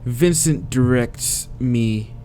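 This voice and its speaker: A man's voice: medium pitch, very slow, mellow, soft background music. A man reading visual novel narration aloud as a let's player, with casual, crude asides.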